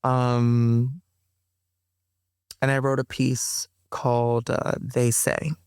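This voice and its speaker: A woman's voice: rumbling low, flat delivery, extremely slow, a little mellow, clear, resonant tone, no room sound. A woman guest in an interview, speaking in a thoughtful, searching way full of "you know" and "sort of". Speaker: poet and playwright guest. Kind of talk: interview chat, then spoken-word poem.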